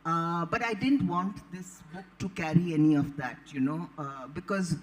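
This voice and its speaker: A woman in her late seventies with an Indian accent, an author telling anecdotes on a panel in a deliberate, digressive, articulate way. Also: on the low side. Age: late 70s. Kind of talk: anecdotal storytelling at a live panel.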